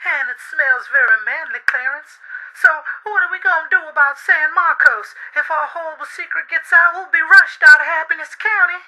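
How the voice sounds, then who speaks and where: A woman's voice: high-pitched, normal speed, bright, forceful tone. A woman playing a fretful, adoring sister in a 1950s radio melodrama, overwrought and gushing. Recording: lo-fi old radio tape.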